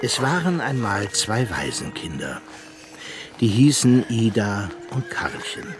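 An actor playing an elderly fairy-tale collector, narrating his memories in a measured way for a children's radio play. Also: lowish voice, very animated, over music. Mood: suspenseful storytelling. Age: older.

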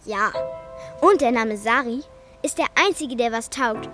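A high, childlike voice of a small daughter, acted by a woman in a children's radio drama. She is blunt, curious and easily indignant, always questioning what she is told. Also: a little slow, over music, natural voice. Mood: defiant. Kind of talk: German children's radio play acting.

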